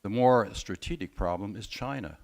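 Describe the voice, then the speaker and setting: A man speaking as a panelist at a conference discussion, measured and often hesitating. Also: lowish voice, a little mellow. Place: conference room.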